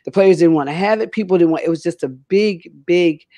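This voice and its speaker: A woman's voice: on the low side, fairly quick, dark tone, dry recording. Older female talk show host, emphatic and repetitive in casual panel chat, dry sound. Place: home room, indoors, on a video call.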